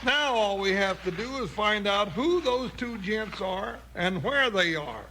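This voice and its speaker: An actor playing a talkative, folksy cowboy sidekick with a Western drawl in a 1950s radio western. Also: male voice, sits high, expressive, unhurried, sharp tone, exaggerated voice. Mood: folksy, puzzled. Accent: American Western drawl. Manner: folksy, rambling, exclamatory. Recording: noisy 1950s radio recording.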